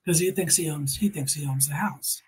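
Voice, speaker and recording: A woman's voice: low voice, moderate pace, a little mellow, slightly rough. A middle-aged woman giving earnest, emphatic personal testimony in conversation, quoting people as she tells her story.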